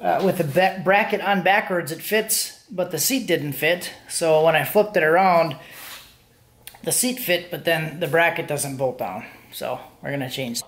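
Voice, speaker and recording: A man's voice: fairly high pitch, unhurried, fairly bright tone. A man in his thirties talking casually to the camera in a home garage, explaining things informally as a car-build vlogger. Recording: roomy, echoing garage sound.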